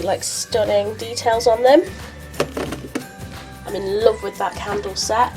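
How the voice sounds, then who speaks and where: A young woman talks in a chatty, gushing ramble, her fairly high voice a touch nasal and stuffed up from hay fever. She wanders through her beauty room at home as she talks. Faint music plays underneath. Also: a little mellow. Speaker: beauty vlogger. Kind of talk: casual room tour vlog.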